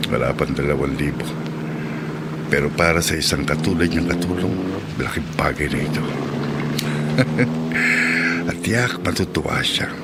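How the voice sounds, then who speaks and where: Deep-voiced, leering middle-aged husband. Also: male voice. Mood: gloating, scheming. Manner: leering, chuckling, falsely kind. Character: lecherous middle-aged husband, a sly predatory mechanic. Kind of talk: radio drama acting. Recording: heavy noise behind the voice.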